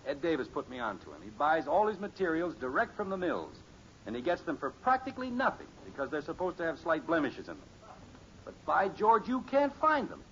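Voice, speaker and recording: Middle-aged American suburban dad, higher-pitched male voice, very lively delivery, folksy reasoning, old radio comedy. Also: fairly quick, heavily acted. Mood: proud, pleased. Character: middle-aged suburban father, patient, reasonable, proud of bargains. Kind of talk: 1950s radio sitcom acting. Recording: muffled, noisy old radio recording.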